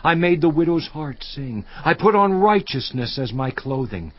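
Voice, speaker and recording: An actor playing a suffering, once-honored biblical patriarch in a dramatized scripture monologue, theatrical and declamatory with long pauses. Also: male voice, on the high side, sing-song, dull audio. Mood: proud, wistful remembrance. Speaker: actor in a dramatized audio Bible.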